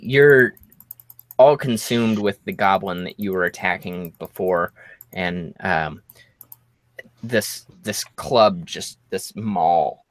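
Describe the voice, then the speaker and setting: A man running a tabletop game, improvising narration as game master in a halting, drawn-out way. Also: low voice, no room sound. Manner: halting, drawn-out storytelling delivery.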